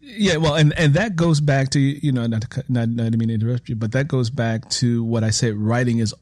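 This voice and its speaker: A man with a medium-pitched voice hosts a conversational interview. He explains his points reflectively as a fellow writer, often circling back over his phrases. The recording is very dry, without room echo. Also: brisk, darkish tone.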